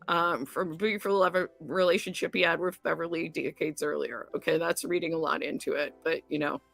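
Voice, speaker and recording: A woman's voice: sits low, fairly even intonation, fairly quick, vocal fry, a bit bright, no room sound, soft background music. A woman podcast co-host, possibly, recapping TV episodes in casual chat, rambling with many fillers.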